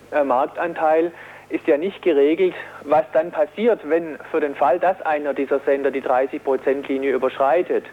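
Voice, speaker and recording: A man giving spontaneous answers as a politician in a radio interview, halting, with frequent hesitations and repeated words. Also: a little high. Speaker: Green politician, media policy spokesman. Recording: dull band-limited radio interview audio.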